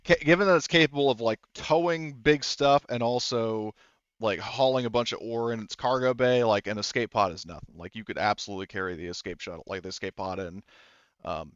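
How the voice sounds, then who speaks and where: A man's voice: lowish voice, fairly even intonation, brisk, no echo, highs cut off. A man narrating as game master in a casual tabletop session, explaining things in a rambling, hesitant way.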